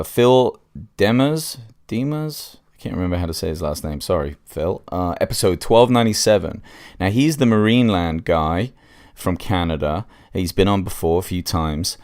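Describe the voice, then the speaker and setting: A man's voice: low-pitched, moderate pace, a little mellow, clean tone. A man hosting a casual solo podcast review, congested with a cold and speaking in an informal, hesitant way.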